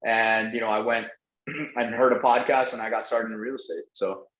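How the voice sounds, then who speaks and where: A man's voice: mid-range voice, little pitch movement, normal speed, a bit bright. A man whose first language is Spanish, telling his story as a casual, slangy podcast guest who swears freely. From Venezuela. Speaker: podcast guest, real estate entrepreneur. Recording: roomy, echoing sound.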